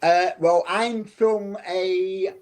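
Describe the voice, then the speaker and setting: A man's voice: high voice, fairly even intonation, drawn-out words, crowd noise. A man with a South Yorkshire accent and a slightly raised voice, chatting as an interview guest. He tells loose, rambling stories, doubling back and restarting as he goes. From England. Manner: rambling anecdotal storytelling with frequent restarts. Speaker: psychic guest. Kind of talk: casual interview chat.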